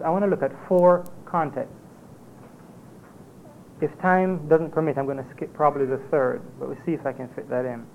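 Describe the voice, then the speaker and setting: Jamaican man lecturing over a tinny recording. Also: a little high. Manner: measured, lecturing, with occasional ums. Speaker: conference speaker. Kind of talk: academic paper presentation. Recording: tinny phone-like lo-fi recording with faint hiss.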